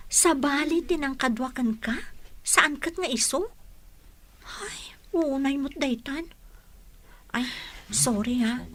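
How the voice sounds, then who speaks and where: A woman plays a sympathetic but nosy friend in a village radio drama. Her voice sits a little high, and she is frank, inquisitive and commiserating. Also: fairly bright tone. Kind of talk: Ilocano radio drama acting.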